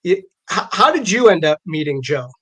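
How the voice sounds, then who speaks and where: Higher-voiced American man, halting casual talk. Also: big pitch swings, fairly bright tone, husky. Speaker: live show host and interviewer. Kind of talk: casual conversational interview.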